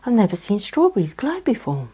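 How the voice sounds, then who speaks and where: Woman as a soft, curious little boy rabbit. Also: medium pitch, huge pitch swings, fairly quick, husky, voice pushed up. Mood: curious, gentle. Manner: soft, gentle. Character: sweet, curious young male rabbit in a storybook.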